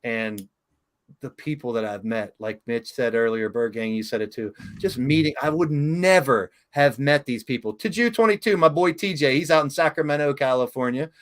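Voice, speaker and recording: A middle-aged man hosts a casual talk show interview. He tells long, rambling stories and gets openly emotional and excited. His voice sits at an ordinary pitch for a man. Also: moderate pace. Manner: enthusiastic, emotional, rambling storyteller.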